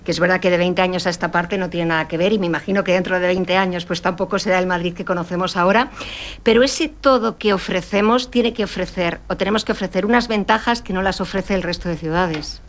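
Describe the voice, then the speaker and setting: A woman's voice: fairly low pitch, steady tone, fast. A woman moderating a round-table discussion, introducing guests and framing questions with repeated, rhetorical phrasing.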